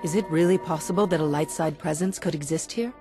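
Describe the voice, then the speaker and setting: An actress playing a calm, principled Jedi heroine in a video game, speaking in a steady, sincere, measured way. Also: female voice, low voice, music in the background, close to an everyday voice. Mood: calm, serious.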